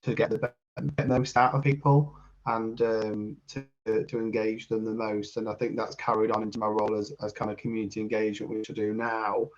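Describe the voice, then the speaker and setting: A man's voice: fairly low pitch, muffled recording. A man with a British accent sharing reflections in an online group discussion, hesitant and repeating words as he thinks. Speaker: community engagement worker. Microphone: video call.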